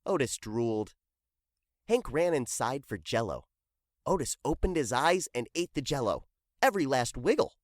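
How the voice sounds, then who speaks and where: A woman reading a children's book aloud in an expressive storytelling style. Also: a bass voice, moderate pace, dry recording, clean studio sound.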